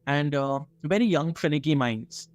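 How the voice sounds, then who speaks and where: A man's voice: fairly high pitch, moderate pace, no echo. Indian-accented man telling a story, dry sound. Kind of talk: conversational storytelling to a partner.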